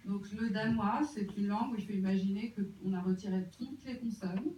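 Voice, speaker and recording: Halting interpreter in an echoey hall. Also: mid-range voice, fairly even intonation, warm tone, slight background noise. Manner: halting, many fillers. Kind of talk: consecutive interpreting. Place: cinema auditorium. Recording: muffled, echoey hall from far off.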